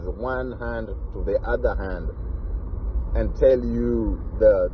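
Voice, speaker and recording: Kenyan man, forties, lowish voice, earnest and emphatic, dull lo-fi outdoor sound with faint traffic. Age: 40s. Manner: earnest, emphatic, repeats key phrases. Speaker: vlogger. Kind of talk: casual personal talk to camera.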